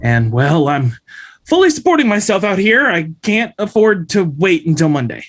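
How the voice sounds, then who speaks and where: A man's voice: high-pitched, sing-song. A man playing an anxious, polite young college student, pleading and hesitant, in a script table read. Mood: nervous, hesitant. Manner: hesitant, halting.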